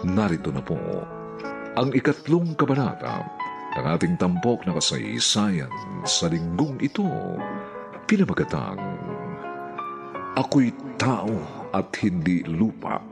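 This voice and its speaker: Gravelly, slow male radio narrator over music. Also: low voice, sing-song. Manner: formal, dramatic greeting delivery. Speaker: radio drama narrator-host. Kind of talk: scripted radio introduction.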